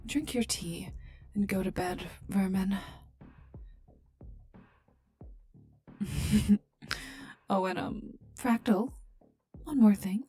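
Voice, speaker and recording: A woman voicing a cold, menacing female poisoner agent, mocking and condescending, in an ASMR roleplay with breathy murmurs. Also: medium pitch, dark tone, soft background music, natural voice. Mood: dismissive, taunting. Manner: slow, taunting, condescending, often dropping to a breathy murmur.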